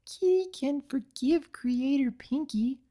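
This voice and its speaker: A woman voicing a small, childlike female cat cookie come to life, simple and sweet, in halting baby talk. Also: sits high, warm tone, pitched above the performer's own voice. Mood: forgiving, hopeful.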